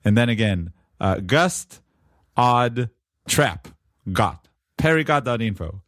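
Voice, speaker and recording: A man with an Israeli accent hosting a casual tech podcast, chatty and relaxed. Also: mid-range voice, takes it slowly, no echo, studio quality. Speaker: podcast host.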